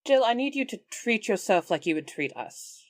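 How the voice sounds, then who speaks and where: A woman's voice: on the high side, sing-song, normal speed, no echo, studio quality. A woman playing a firm, caring, plain-spoken adventurer in a tabletop game.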